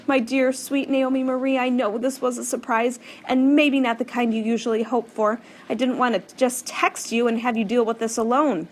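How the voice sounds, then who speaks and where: A woman's voice: a little high, fairly even intonation, moderate pace, hardly any pauses, pitched above the performer's own voice, natural voice. A woman reading an audiobook, voicing a busy, loving mother who sounds breathless and tender on the phone.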